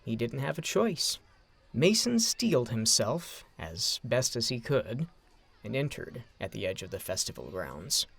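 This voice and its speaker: A man narrating a scripted story in a measured, dramatic way, with deliberate phrasing. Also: medium pitch, sing-song, gravelly, a bit bright.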